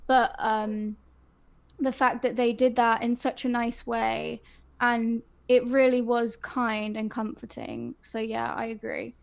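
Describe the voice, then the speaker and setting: Woman, co-host, high-ish voice, gushing casual fan chat, lots of fillers. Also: takes it slowly, gravelly. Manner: enthusiastic, gushing, frequent fillers. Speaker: podcast co-host.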